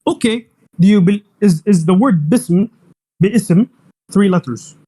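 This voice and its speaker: A man's voice: high voice, unhurried, mellow, breathy edge. A young man whose first language is Arabic, arguing insistently in an online debate and pressing the same demand over and over. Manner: insistent, repeats demands, talks over others.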